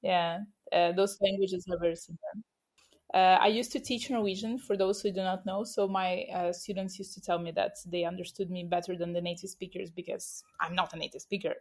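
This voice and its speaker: A woman's voice: on the low side, fairly even intonation, brisk. A woman hosting a podcast interview in a casual way, full of hesitant filler sounds. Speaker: podcast host.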